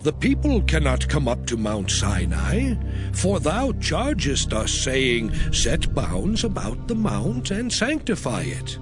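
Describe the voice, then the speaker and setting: An actor playing an earnest, reverent male prophet, speaking in a measured, declamatory way in a dramatized scripture reading. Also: on the high side, huge pitch swings, heavily acted. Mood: respectful protest. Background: music.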